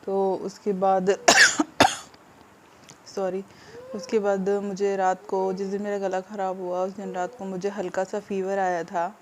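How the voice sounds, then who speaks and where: Subdued, flat-voiced sick woman vlogger. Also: medium pitch, a little hiss or hum. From India. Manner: hesitant, subdued, with many pauses and fillers. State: ill, sore throat. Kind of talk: casual vlog update to viewers.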